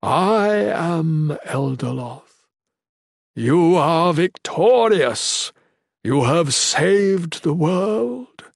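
A man's voice: on the high side, animated, extremely slow, gravelly, husky, no echo, clean studio sound, voice pushed up, full character voice. A man voicing a glorious, benevolent god in a fantasy audiobook, making solemn proclamations in a kind, reassuring voice. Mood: solemn benevolence.

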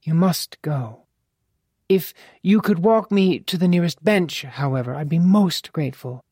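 A fond, wry older madame from a storybook, as an audiobook narrator puts her on. She is a formal, imperious woman with crisp, teasing phrasing. The voice sits well above the reader's own, in a dry, close recording. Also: fairly low pitch, animated, measured pace, dark tone, no echo, voice pushed up. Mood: gentle, composed. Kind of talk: audiobook character dialogue.

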